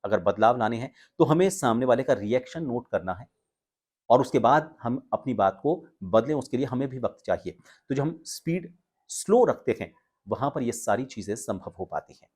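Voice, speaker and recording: A man giving an instructional talk to viewers as a voice coach, explaining with pauses and stress on key words. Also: mid-range voice, animated, darkish tone, husky. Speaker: voice coach and video presenter.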